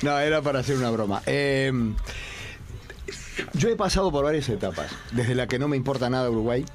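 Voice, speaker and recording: Reflective man telling a slow story. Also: medium pitch, expressive. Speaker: TV presenter guest. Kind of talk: casual panel chat.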